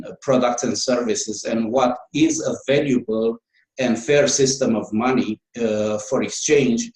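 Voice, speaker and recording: A man's voice: mid-range voice, darkish tone. Romanian-accented man with echoey sound. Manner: hesitant, many fillers and restarts. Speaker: guest author. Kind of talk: interview answers.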